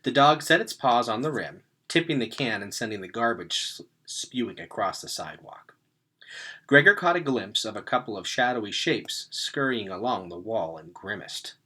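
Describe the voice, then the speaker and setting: A man reading a story aloud as narrator, in an expressive way with dramatic emphasis. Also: on the low side, normal speed. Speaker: read-aloud reader.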